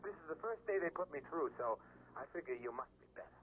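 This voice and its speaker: Fast, breezy boss on telephone. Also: male voice, medium pitch, animated, husky. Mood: breezy, caring. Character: breezy, flirtatious big-shot male boss. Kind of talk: 1970s radio drama acting. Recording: faint, muffled voice down phone line.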